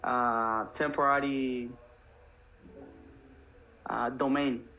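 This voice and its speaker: A man's voice: mid-range voice, fairly even intonation, drawn-out words, pushed and bright. A man from Cuba casually chatting as a guest on a livestream, explaining things step by step in an easygoing way. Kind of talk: casual chat. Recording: slightly dull sound with faint music.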